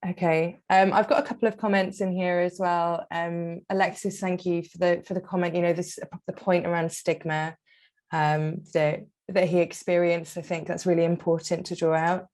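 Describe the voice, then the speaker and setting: A woman with a fairly low voice hosts an online discussion over a video call. She invites participants in with an encouraging tone. She trips over repeated words and fillers as she goes. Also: level tone, moderate pace, a little mellow. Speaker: webinar host.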